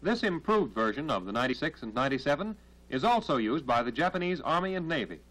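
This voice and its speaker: An American man narrating a 1940s military training film in a formal, measured, instructional manner, on a period film soundtrack. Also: a little high, very animated, a bit bright, low-quality recording.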